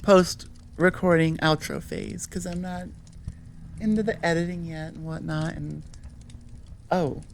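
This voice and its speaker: An American woman hosts a casual outro with a slightly low voice. She talks at an unhurried, very slow overall pace, rambling and correcting herself as she goes in an informal, conversational way. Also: gravelly, a little hiss or hum. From Nevada, United States. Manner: informal, self-correcting, chuckling asides. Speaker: audio creator, host.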